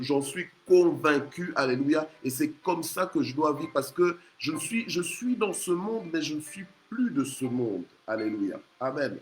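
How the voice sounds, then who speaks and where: A man's voice: fairly high pitch, low-quality recording. A man preaching an exhorting sermon in an emphatic, repetitive way. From Congo. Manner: emphatic, repeats phrases, punctuates with hallelujah and amen. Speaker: preacher leading a morning prayer. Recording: clear background noise, ambient sound.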